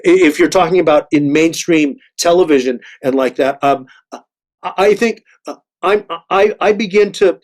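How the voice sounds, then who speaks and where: A middle-aged man, an actor, talks reflectively in a panel discussion, haltingly, often repeating and restarting his words. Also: on the high side.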